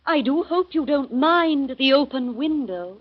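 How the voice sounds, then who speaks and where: An actress playing a chatty, refined middle-aged aunt, in 1930s radio acting style. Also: female voice, sits high, expressive, a little slow. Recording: muffled old radio recording.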